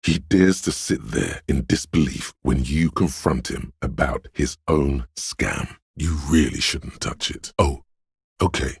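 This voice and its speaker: A man voicing a menacing, paranoid inner voice of fear and aggression in a video game, gravelly and husky, ominous and deliberate. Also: very deep voice, dry recording, clean studio sound, heavily acted. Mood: dark, menacing. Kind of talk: scripted game voice acting, second-person narration.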